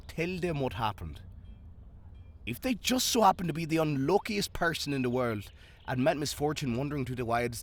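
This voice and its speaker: A man playing a wry, protective fantasy guardsman in an audio roleplay, with a theatrical, mock-pompous manner and a husky voice. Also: mid-range voice. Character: male fantasy head guard's deputy, teasing, protective, exasperated friend.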